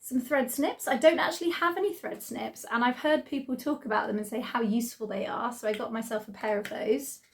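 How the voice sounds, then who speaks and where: British woman, middle-aged, croaky from laryngitis, high-ish voice, chatty vlog host. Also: a little fast, few pauses, slight background noise. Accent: British English. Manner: chatty, excited, many ums. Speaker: sewing channel host. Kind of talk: casual vlog chat.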